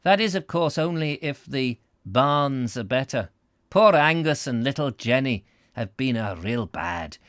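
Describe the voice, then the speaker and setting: A man's voice: mid-range voice, lively intonation, a little slow, a bit bright, no echo, studio quality, pitched above the performer's own voice. A man voicing a fussy, affectionate Scottish husband on the telephone in a story, with a wobbly accent and hesitant, self-correcting delivery. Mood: cosy, explaining. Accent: Scottish, wobbly put-on. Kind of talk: storybook character reading.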